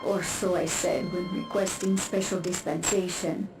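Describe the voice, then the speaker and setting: Actress as weary woman soldier, slow halting delivery, formal reflective game monologue, roomy distant recording. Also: lowish voice, flat delivery, close to an everyday voice. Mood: sombre, hesitant. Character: weary, hesitant woman scholar-soldier recording a private confession. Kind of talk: voiced game dialogue, spoken audio diary. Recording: roomy, distant, music behind.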